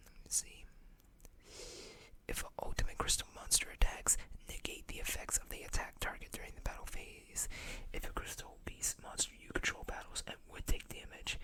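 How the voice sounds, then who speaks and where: A host whispering gently and unhurriedly into a close microphone, reading out card game text in ASMR style with small asides.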